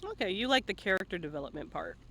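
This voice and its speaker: Male stream host, casual interviewer. High-pitched, animated delivery, informal questioning. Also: quick pace, a little hiss or hum.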